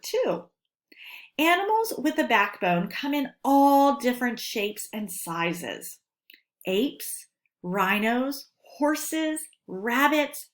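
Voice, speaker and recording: A woman teacher reading a lesson aloud to children, speaking deliberately and instructively and stressing key words. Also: fairly high pitch, a bit bright. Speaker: elementary school teacher.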